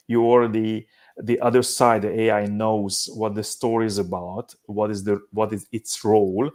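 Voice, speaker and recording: Hungarian-accented man, halting speech. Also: on the low side, darkish tone. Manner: halting, many restarts and repeated words. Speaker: guest, business simulation company head. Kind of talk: casual discussion.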